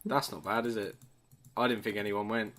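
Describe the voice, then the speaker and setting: A man's voice: on the low side, steady tone, moderate pace, a bit bright. A man with an English accent hosting a podcast in casual, joking banter. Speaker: podcast host.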